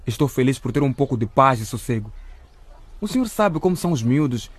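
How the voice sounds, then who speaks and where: An actor playing a friendly, crafty truck driver in a radio drama, speaking politely and placatingly. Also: male voice, mid-range voice, very animated, brisk, breathy edge. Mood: polite, nervous.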